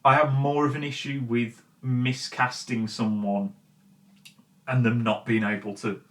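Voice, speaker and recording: Guy doing an opinionated video rant, medium pitch, casual but exasperated, swears a lot. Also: level tone, a little slow, a bit bright. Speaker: host of a film and game commentary show.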